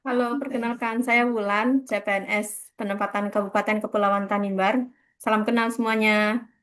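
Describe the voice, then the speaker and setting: A woman as a guest on a casual podcast, answering in a thoughtful, calm way. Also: mid-range voice, normal speed. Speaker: podcast guest, civil servant. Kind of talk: casual group podcast chat.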